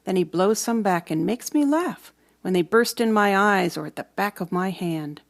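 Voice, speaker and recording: A woman narrates a children's storybook in a mid-pitched voice. She reads expressively, leaning on dramatic words and dropping into hushed moments. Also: expressive, moderate pace. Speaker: storybook reader.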